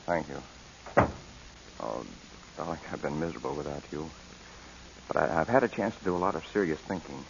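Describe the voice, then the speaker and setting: An actor playing a devoted, earnest young husband in 1940s radio drama, speaking tenderly and haltingly. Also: male voice, very deep voice, creaky. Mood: tender, relieved. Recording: hissy, dull old radio recording.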